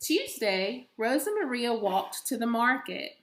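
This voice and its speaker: A woman reads a picture book aloud in an echoey room. She takes it very slowly, with deliberate and expressive phrasing meant for young children. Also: on the high side, a little hiss or hum. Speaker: elementary school teacher reading aloud.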